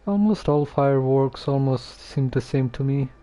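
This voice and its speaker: A man streaming a game casually, hesitant and halting as he talks and reads text aloud. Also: medium pitch, mellow. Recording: muffled lo-fi microphone.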